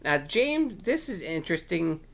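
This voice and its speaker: A man hosting a storytime, chatting casually and playfully with young children in an encouraging, halting, rambling way. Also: a little high, sing-song, vocal fry. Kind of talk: casual read-aloud chat to young children.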